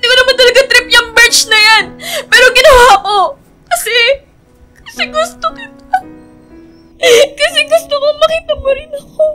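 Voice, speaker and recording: A woman's voice: extremely high pitch, moderate pace, sharp tone, soft background music. A woman playing a heartbroken young woman in a radio drama, crying and pleading bitterly. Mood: tearful pleading. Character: heartbroken young woman Shane, bitterly confronting the man she loves. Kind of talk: emotional Filipino radio drama acting.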